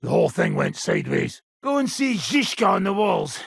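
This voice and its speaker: Crude gruff soldier from a medieval game, super gravelly, taunting and sweary, voice jumps all over the place. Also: male voice, sits high, huge pitch swings, normal speed, no room sound, clean studio sound, heavily acted. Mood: gruff, wry. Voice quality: gravelly, husky rasp. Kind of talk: video game voice acting.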